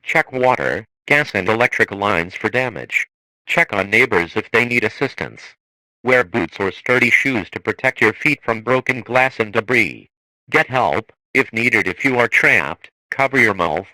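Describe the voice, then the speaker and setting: A man reading a scripted emergency broadcast in a formal, measured manner. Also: lowish voice, pushed and bright, dry recording, rough recording. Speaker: emergency alert announcer.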